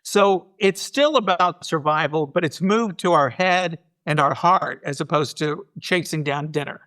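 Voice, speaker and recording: An American man with a high-pitched, bright voice speaks as a guest in a casual interview. He is reflective, halting and doubling back on words while he works out a thought. His delivery is that of someone explaining his craft in conversation. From United States. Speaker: podcast guest, storyteller and show organizer.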